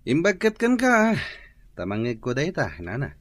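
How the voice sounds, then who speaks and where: A man's voice: a little high, no room sound, full character voice. A man acting a bitter, aggrieved young son of a wealthy family in an Ilocano radio drama.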